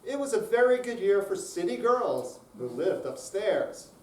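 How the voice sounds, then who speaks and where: Older male stage reader, high register, dramatic recitation, far from mic in an echoey room. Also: sing-song, a little slow, a little mellow. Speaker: amateur readers theater performer. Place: room at a senior center. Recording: distant, echoey room with faint noise.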